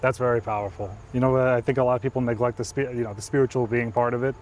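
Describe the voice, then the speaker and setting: Male host, mid voice, quick and enthusiastic, casual outdoor interview. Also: medium pitch, steady tone, darkish tone, slight background noise. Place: outdoors by a parked car.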